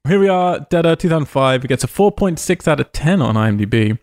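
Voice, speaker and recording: A man's voice: fairly high pitch, animated, quick pace, darkish tone, dry recording. A man hosting a casual film podcast, chattily reading out information and adding informed asides. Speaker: podcast host.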